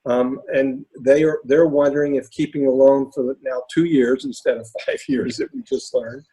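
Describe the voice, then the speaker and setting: A hoarse man with a raspy voice talking through case studies on a video call, halting with many fillers and restarts. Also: mid-range voice, expressive, warm tone, poor audio. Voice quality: raspy, lost voice. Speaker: panelist presenting case studies. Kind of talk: webinar talk.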